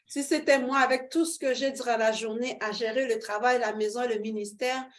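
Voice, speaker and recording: A woman's voice: on the high side, fairly quick, slight background noise. A middle-aged woman, giving a fervent personal faith testimony as an interview guest, with many fillers. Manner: fervent, expressive, with frequent fillers and laughs.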